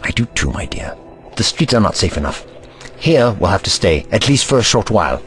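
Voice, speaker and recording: A man's voice: lowish voice, very animated, fairly quick, fairly bright tone, soft background music. A man voicing a haughty, eccentric time-traveling scientist in an audio drama, pompous and lecturing.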